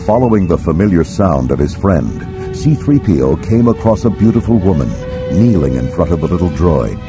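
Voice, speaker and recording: A man's voice: low-pitched, sing-song, normal speed, over music. A man narrating a dramatic scripted storybook as its narrator.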